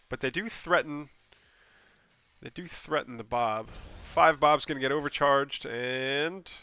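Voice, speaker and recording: Bright-voiced male caster with a cold. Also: medium pitch, no room sound. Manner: casual, rambling, with frequent ums and self-corrections; bursts of excitement at plays. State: stuffy, scratchy voice from a cold. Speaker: game caster. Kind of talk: solo replay commentary.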